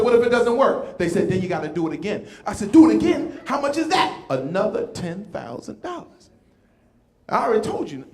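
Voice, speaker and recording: A man's voice: high voice, reverberant. A man in his fifties performing a stand-up comedy set, telling stories and acting out voices. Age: 50s. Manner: animated storytelling, acting out voices. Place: comedy club stage with live audience.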